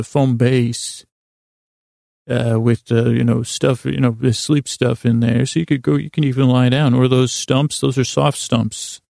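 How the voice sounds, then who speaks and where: A man hosting a bedtime podcast in a creaky, soothing voice, improvising a meandering story with halting restarts and repeated phrases. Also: mid-range voice, dry recording, clean studio sound. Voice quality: creaky, gravelly. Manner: gentle, lulling, soothing. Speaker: host of a bedtime sleep podcast.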